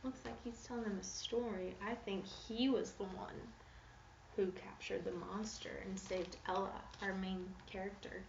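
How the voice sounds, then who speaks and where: A woman reads to children like a teacher, expressive and inviting them to answer. Her voice sits at a middle pitch. The recording is roomy and a bit dull, with noticeable noise behind her. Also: normal speed, creaky, far from the mic. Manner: expressive storytelling, posing questions to young listeners. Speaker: teacher reading a picture book aloud. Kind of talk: interactive children's read-aloud. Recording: echoey room, muffled, noisy background.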